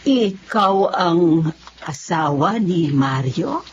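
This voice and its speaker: A woman's voice: fairly low pitch, expressive, extremely slow, a little mellow. A woman playing a tender, grateful older aunt in an emotional family drama. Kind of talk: dramatic dialogue acting. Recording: dull lo-fi audio, faint noise.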